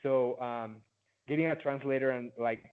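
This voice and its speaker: A man's voice: medium pitch, fairly even intonation, moderate pace, smooth. A young man in his late teens from Mexico with a Mexican Spanish accent, a native Spanish speaker, answering interview questions in a loose, chatty way full of "like" fillers, heard over a video call. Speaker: interviewee, former student. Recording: tinny phone-like line.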